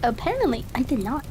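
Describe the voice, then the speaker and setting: A woman hosting a livestream, chatting casually and musing aloud to herself in an unhurried way. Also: high voice, background noise. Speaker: streamer and host of a reading session.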